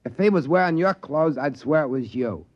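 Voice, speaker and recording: A man's voice: a little high, expressive, moderate pace, no room sound, close to an everyday voice. An actor playing a folksy, colloquial trading-post man who narrates an alien-planet tale in 1950s radio style. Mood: easygoing. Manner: colloquial, plain-spoken. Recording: muffled old radio recording with music.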